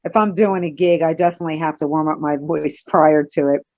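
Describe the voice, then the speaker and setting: Dry, muffled interviewer asking questions. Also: male voice, a little high, steady tone, fairly quick, darkish tone, no echo. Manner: brief, affirming, steers with questions. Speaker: music interviewer, host.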